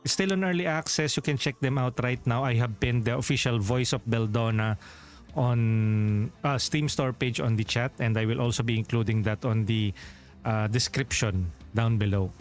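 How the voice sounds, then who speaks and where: A man's voice: mid-range voice, normal speed, soft background music. A Filipino man with a Filipino accent, a game streamer chatting casually and rambling as he corrects himself. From Philippines. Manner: rambling, self-correcting, chuckles often. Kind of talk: casual livestream chat.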